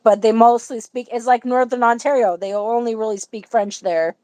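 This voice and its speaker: A woman's voice: a little high, steady tone, normal speed, warm tone, no echo. A young woman chatting casually and eagerly on a livestream, often laughing. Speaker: livestream co-host. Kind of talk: casual live chat.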